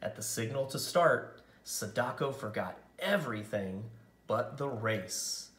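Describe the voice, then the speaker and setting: A man narrating a picture book aloud as a storyteller, with expressive, dramatic and deliberate phrasing. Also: mid-range voice, reverberant.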